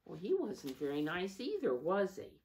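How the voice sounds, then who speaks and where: A woman reading a storybook aloud to young children, expressive, with asides to her listeners. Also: fairly low pitch, normal speed. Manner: expressive, asking listeners questions. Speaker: storybook reader.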